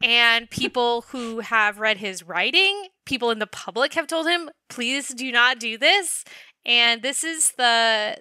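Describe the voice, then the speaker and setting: A woman's voice: a little high, lively intonation, unhurried, bright, forceful tone, dry recording. A woman co-hosting a casual discussion, sardonic and emphatic, who swears freely. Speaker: podcast co-host.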